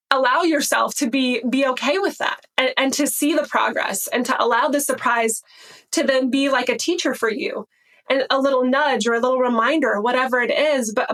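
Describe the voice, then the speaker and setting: High-voiced woman gently encouraging. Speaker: podcast co-host. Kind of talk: casual coaching talk.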